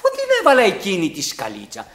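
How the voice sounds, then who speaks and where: An actor playing a put-upon, mocking manservant in a theatrical radio drama, sardonic and exclamatory. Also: male voice, sits high, huge pitch swings, fairly bright tone, heavily acted. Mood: muttering.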